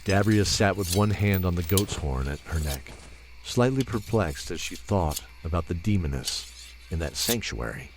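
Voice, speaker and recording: A man reading scripted fantasy narration in a measured, solemn storyteller's manner. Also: low-pitched, animated, background noise.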